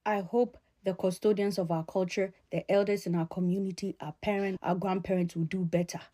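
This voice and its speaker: A woman speaking straight to her audience in an opinion vlog, emphatic and admonishing, repeating key phrases deliberately. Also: lowish voice, warm tone. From Ghana. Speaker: sociologist addressing followers.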